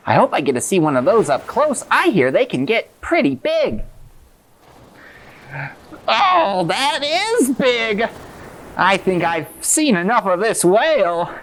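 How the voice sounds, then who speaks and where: A woman's voice: medium pitch, very animated, slow. A woman playing an eager, naive young fisherman in a children's puppet show, earnest and wide-eyed. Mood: startled, puzzled.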